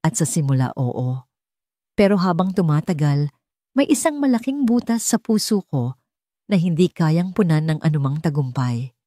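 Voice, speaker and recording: A woman voicing a remorseful, pleading mother in an audiobook romance, calm but grieving, with halting phrases. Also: sits low, dry recording, clean studio sound. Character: remorseful pleading mother, a former concert pianist.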